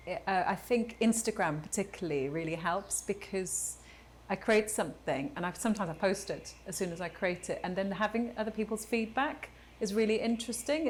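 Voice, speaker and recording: A Welsh woman, a textile artist, talking thoughtfully and earnestly in a documentary interview, with pauses and restarts. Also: mid-range voice, a little hiss or hum. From Wales.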